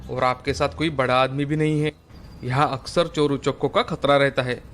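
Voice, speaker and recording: An actor playing a poor, hardworking village laborer who is a kind father, speaking in a gentle, earnest way in an animated moral story. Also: medium pitch, moderate pace, a little hiss or hum, close to an everyday voice. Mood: concerned.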